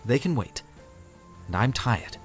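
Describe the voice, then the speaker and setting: A man's voice: mid-range voice, sing-song, unhurried, faint music behind. A man playing an earnest, courteous young swordsman in a fantasy audio story. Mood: polite, resolute.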